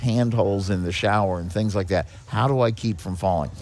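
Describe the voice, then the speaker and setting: A man's voice: on the low side, normal speed, darkish tone, slight background noise. A man talking conversationally as a podcast guest, explaining things and often repeating or restarting his words. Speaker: guest, director of a seniors' charity.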